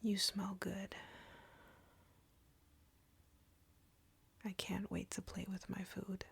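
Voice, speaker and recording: A very breathy, husky female vampire played by a woman in an intimate audio roleplay. She speaks slowly in a taunting, seductive whisper with a gravelly crackle, arrogant and cruel as she flirts with her prey. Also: medium pitch, monotone, full character voice. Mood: menacing, possessive. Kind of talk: intimate scripted audio roleplay addressed to the listener.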